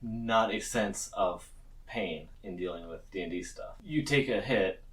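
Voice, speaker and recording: A man's voice: on the low side, unhurried, a crowd in the background. A man acting as game master, casually explaining tabletop game rules to players, picked up from a distance. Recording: distant mic pickup.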